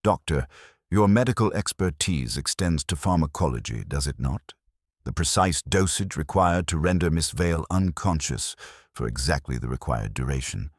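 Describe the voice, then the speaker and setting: Man reading a fastidious detective: very deep, expressive, close and dry. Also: breathy edge, no room sound, heavily acted. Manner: precise, probing, formal. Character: fastidious Belgian male detective, middle-aged.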